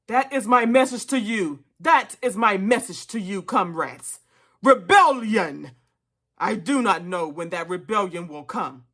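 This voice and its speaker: A reader voicing an elderly boar, a solemn revolutionary orator addressing fellow animals in a storybook, with deliberate, emphatic delivery. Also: medium pitch, a bit bright, lightly acted. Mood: rousing, urgent. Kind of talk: dramatic storybook reading of a speech.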